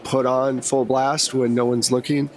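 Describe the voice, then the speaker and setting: Agent handler, played by a man for a game: breezy, blunt, casually reassuring, mid-pitched. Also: little pitch movement, unhurried, natural voice. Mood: teasing. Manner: casual, wry, reassuring briefing.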